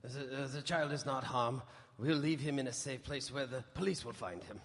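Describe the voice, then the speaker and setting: An actor playing a solemn, commanding old professor who hunts vampires, with formal, portentous radio-drama delivery. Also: male voice, mid-range voice, moderate pace, noisy background, lightly acted. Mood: solemn warning. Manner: portentous, formal, emphatic oratory. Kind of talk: live radio drama acting. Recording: live stage broadcast in reverberant hall.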